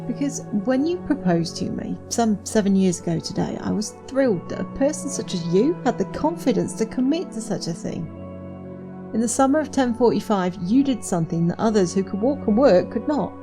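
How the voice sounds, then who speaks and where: Casting: actress as steadfast young wife, gentle and tired, medium-pitched, soft delivery, audio drama with clear music underneath. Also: female voice, lively intonation, fairly quick, close to an everyday voice. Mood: earnest, reassuring. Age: young adult.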